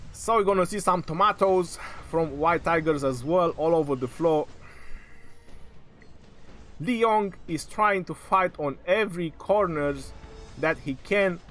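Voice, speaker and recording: Male live-stream game caster, a touch high, excitable and jokey, voice turning hoarse, light hiss behind. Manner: excitable, joking play-by-play. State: voice going hoarse. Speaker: game match commentator.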